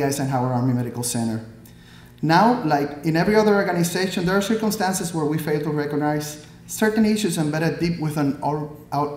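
A Puerto Rican-accented man in his thirties reads a prepared speech from the podium in a measured, earnest way, with a filler here and there. His voice sits a little high for a man. The hall around him rings with a clear echo. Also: a little mellow. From Puerto Rico. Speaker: Army pathologist, guest speaker. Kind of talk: prepared speech read from notes. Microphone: podium microphone. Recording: echoey hall.

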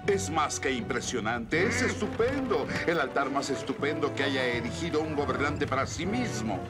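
A man voicing a fawning courtier who flatters the emperor, in a cartoon. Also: medium pitch, moderate pace, loud music behind the voice, lightly acted. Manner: fawning, obsequious.

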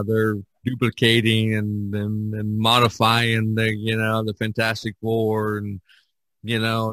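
A man's voice: lowish voice, a bit bright, dry recording, low-quality recording. An older man in a casual podcast discussion, rambling and opinionated as he talks. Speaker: podcast host.

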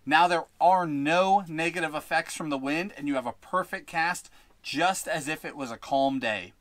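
Young guy explaining casting on a windy lake shore, kinda high voice, upbeat, dry close mic. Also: moderate pace, fairly bright tone, dry recording. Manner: instructive, upbeat. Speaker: fishing vlogger. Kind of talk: outdoor tutorial vlog. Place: windy lakeshore outdoors. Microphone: head-mounted action camera.

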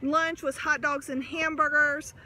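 A woman's voice: high voice, level tone, unhurried, sharp tone. A woman in her forties vlogging to a phone at arm's length on a sunny farm, talking in a casual, upbeat way. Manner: chatty, upbeat, encouraging to her kids. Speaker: family vlogger. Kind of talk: casual vlog talk to camera. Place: outdoors on a busy farm in sunshine. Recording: outdoor crowd and noise behind.

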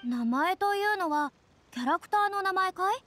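High-voiced, boyishly spoken young lady. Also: animated, normal speed, fairly bright tone, slight background noise. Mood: curious, thoughtful. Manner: boyish phrasing, slightly theatrical, wondering. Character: youthful theatrical young lady, curious, earnest, boyish speech. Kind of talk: Japanese anime game voice acting. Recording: studio voice with music underneath.

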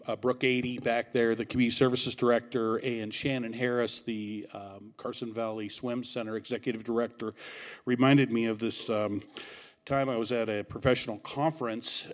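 A man emceeing an awards event, telling a joke story at a podium mic in a banquet hall, with animated, shouted quoted lines. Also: medium pitch.

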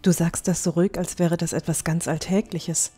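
A woman playing a tender-hearted young dwarf in an audio drama, talking gently and soothingly. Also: fairly low pitch, level tone, normal speed, breathy edge, faint music behind. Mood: shocked, sad.

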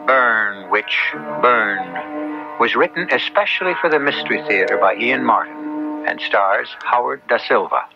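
A man hosting a radio mystery series, narrating a script in an ominous, deliberate, theatrical way. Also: fairly low pitch, expressive, creaky. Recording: dull old radio broadcast with music.

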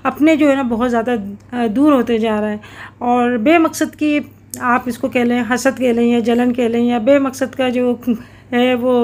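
A middle-aged woman vlogging at home, talking in an earnest, reflective way with many pauses and fillers. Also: a little high. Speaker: cooking vlogger. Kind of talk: casual vlog voice-over.

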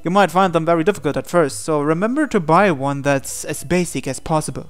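A woman's voice: sits low, brisk, clean tone, soft background music. A woman reading translated visual novel text aloud, acting out the hero's lines in a conversational way. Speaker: game player reading the hero's dialogue aloud.

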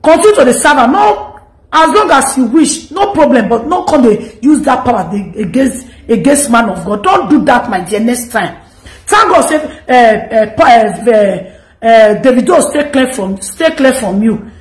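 Nigerian woman, 30s, pidgin commentary, scolding, fairly high voice, roomy phone recording. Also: normal speed. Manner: animated, scolding, mixes pidgin and English. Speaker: gossip commentary host. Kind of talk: direct-to-camera commentary.

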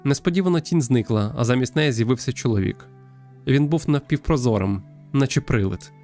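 A man's voice: medium pitch, lively intonation, a little fast, mellow, faint music behind, lightly acted. A man voicing a sensitive young girl who narrates her sad journey in a visual novel. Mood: narrating.

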